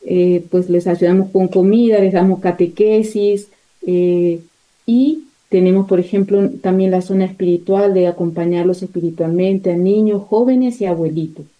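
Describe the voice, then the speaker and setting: A woman's voice: fairly low pitch, fairly even intonation, moderate pace, highs cut off. A woman missionary nun giving a spontaneous interview account, often hesitating and searching for words.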